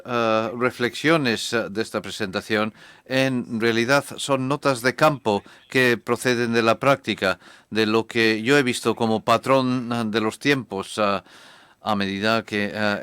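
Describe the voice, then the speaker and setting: A man giving a measured, reflective conference talk to a hall audience. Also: medium pitch, fairly bright tone, smooth. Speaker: conference speaker.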